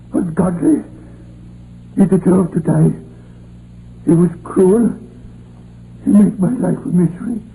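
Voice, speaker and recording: A guilty, frightened miner in an old radio play. The voice is high and very muted in tone, slow and halting with agitated repetitions. Faint noise of an aged broadcast surrounds it. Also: male voice, gravelly, mellow, full character voice, poor audio. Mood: desperate, bitter. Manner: agitated, stammering, repeats himself. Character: desperate, frightened Welsh miner turned guilty poisoner. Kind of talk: 1950s radio drama acting.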